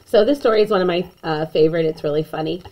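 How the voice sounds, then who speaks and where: A woman reading a children's picture book aloud in an expressive way, with chatty asides to young listeners. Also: fairly low pitch. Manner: animated, slow and deliberate storytelling, chatting to young listeners.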